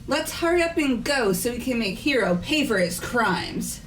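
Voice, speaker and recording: A woman's voice: on the high side, lively intonation, moderate pace, faint music behind, natural voice. A woman playing a haughty, accusing student in a murder-mystery video game. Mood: haughty, certain.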